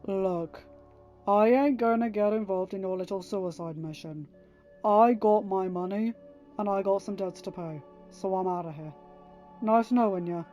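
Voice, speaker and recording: A woman puts on a cynical male smuggler for an audiobook. He sounds gruff and mellow, with a slangy, dismissive delivery. He is irritable and out for himself. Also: medium pitch, measured pace, soft background music, natural voice. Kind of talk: dramatised audiobook reading.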